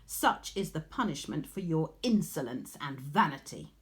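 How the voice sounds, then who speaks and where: A woman's voice: sits low, expressive. Woman playing a cold, imperious goddess. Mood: stern, pitiless. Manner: slow, disdainful and commanding. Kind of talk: dramatised storybook reading.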